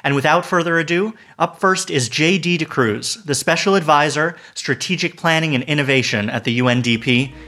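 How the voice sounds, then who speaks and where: A man hosting a podcast, reading a scripted intro and asking interview questions in an upbeat, clear way. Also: on the high side, moderate pace, hardly any pauses, sharp tone. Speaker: podcast host.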